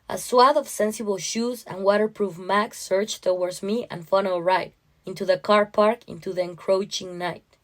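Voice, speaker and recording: Woman reading a dramatic literary story. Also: medium pitch. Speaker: story narrator. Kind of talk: scripted first-person literary narration.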